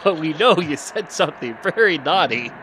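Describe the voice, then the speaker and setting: A man's voice: fairly high pitch, animated, moderate pace, sharp tone, slight background noise, rough recording. A man with a Minnesotan accent giving casual game commentary with friends, joking and teasing as he rambles. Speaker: stream commentator. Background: game music.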